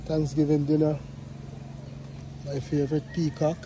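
A man's voice: a little high, completely flat delivery, unhurried, mellow, slight background noise. Playful Jamaican man filming a farmyard. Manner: playful, calls out to the animals. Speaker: vlogger touring a farm. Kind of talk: casual walking commentary. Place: outdoor farmyard with animal pens. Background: farm animals and birds.

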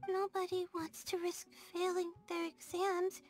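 Game role: sleepless young female student, anxious and timid, extremely high voice, unhurried, halting delivery. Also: little pitch movement. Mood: defensive. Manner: hesitant, stammering, self-doubting. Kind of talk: video game character dialogue. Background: soft game music.

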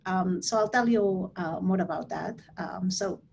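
A woman's voice: fairly low pitch, unhurried, vocal fry, darkish tone. Spanish-accented woman, faint background noise. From Spain. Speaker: research scientist, guest speaker. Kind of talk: informal self-introduction. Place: online video call.

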